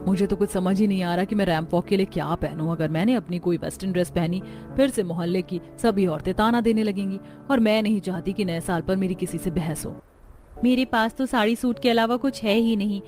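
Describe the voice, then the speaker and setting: A woman's voice: mid-range voice, a little mellow, natural voice. Young Indian daughter-in-law voiced by a woman, light music behind. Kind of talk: cartoon story dialogue.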